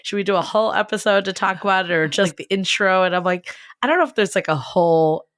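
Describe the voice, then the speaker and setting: A woman co-hosting a dating podcast, chatting casually with a hoarse, raspy voice and lots of laughs and fillers. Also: medium pitch, fast, a bit bright. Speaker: podcast co-host. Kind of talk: casual chat and read ad.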